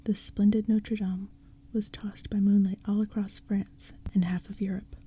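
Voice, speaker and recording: Female narrator, hushed ASMR-style reading, dark and airy. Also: mid-range voice, flat delivery, normal speed, husky. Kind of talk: whispered storybook narration.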